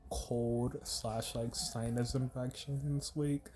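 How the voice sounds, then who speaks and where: A man's voice: mid-range voice, flat delivery, takes it slowly, darkish tone, a little hiss or hum. A young man with a congested, stuffy voice, rambling casually through a makeup tutorial voiceover with many "like" fillers. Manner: rambling, heavy use of filler "like". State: congested from a cold. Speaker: beauty vlogger.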